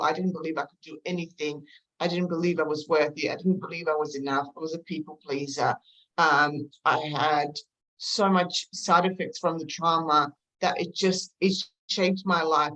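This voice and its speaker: Woman guest, bit of a low voice, aussie mixed w eastern european accent, halting, thinks as she talks. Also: a bit bright, lo-fi sound. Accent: mixed Eastern European and Australian. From former Yugoslavia, moved to Australia at eleven. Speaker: podcast guest, counselor. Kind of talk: personal storytelling in an interview.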